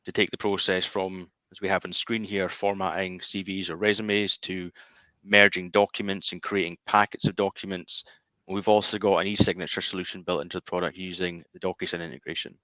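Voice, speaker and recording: A man with a deep voice and a bright, forceful edge presents a product informally. His pitch stays fairly even, and the call audio is a little dull and lo-fi. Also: dry recording. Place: indoor office.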